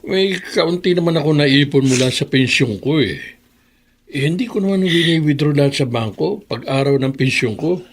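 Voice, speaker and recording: An actor playing a kind old father living on his pension, who talks gently and coaxingly and chuckles. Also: fairly high pitch, expressive, normal speed, a little hiss or hum. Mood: reassuring. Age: older.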